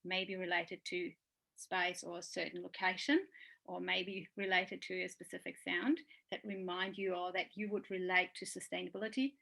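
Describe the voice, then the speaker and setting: A woman's voice: on the low side, little pitch movement, moderate pace, gravelly, pushed and bright. A woman hosting a webinar, asking questions thoughtfully and searching for words as she goes. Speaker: webinar host. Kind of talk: casual webinar conversation.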